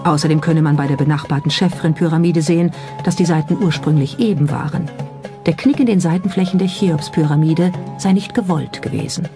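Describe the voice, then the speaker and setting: A documentary narrator reading a script in a measured, explanatory way and posing rhetorical questions. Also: mid-range voice. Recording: music behind the voice. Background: clearly audible background music.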